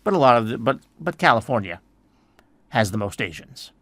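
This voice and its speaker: A man from the United States hosting an educational podcast, explaining things in a relaxed way with many "I mean"s and restarts. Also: medium pitch, a little fast, no room sound. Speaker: podcast host.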